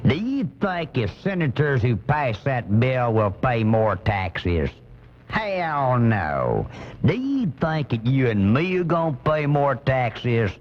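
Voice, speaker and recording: A man's voice: lowish voice, exaggerated voice. A man playing an indignant, blunt, folksy working-class American who rants in a comic radio commentary, on a dull off-air cassette recording.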